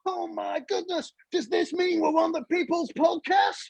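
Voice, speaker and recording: Excitable, very high-pitched puppet kid. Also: male voice, moderate pace, gravelly, dry recording, muffled recording. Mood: overjoyed, starstruck. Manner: exclamatory, breathlessly gushing. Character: excitable childlike puppet buddy, starstruck and gushing. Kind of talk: live puppet show character voice.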